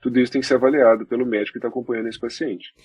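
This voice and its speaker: Husky Brazilian male doctor, mid-pitched, dry close mic, steady explainer. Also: no room sound, highs cut off. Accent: Brazilian Portuguese. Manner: measured, explains step by step with restarts. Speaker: doctor guest. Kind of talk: explanatory podcast talk.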